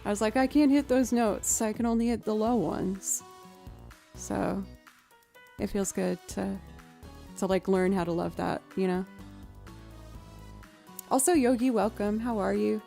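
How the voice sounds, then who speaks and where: A young woman with a naturally low voice, chatting casually with her livestream audience and thinking aloud as she goes. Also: unhurried, vocal fry, long pauses, warm tone, soft background music. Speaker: streamer and musician.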